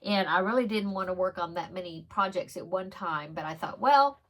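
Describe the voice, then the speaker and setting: A woman's voice: lowish voice, moderate pace, a bit bright. A woman hosting a crochet podcast, chatting casually and giggling between rambling asides, her nose stuffed up on one side. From Texas. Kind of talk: casual show-and-tell chat.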